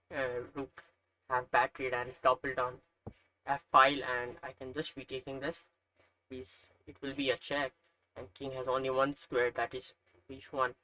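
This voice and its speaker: A man's voice: mid-range voice, bright, forceful tone, poor audio. Nepali-accented young man thinking aloud. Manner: thinking aloud, hesitant with frequent uhs and self-corrections. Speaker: chess learner narrating puzzles. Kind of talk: casual screen-recorded commentary.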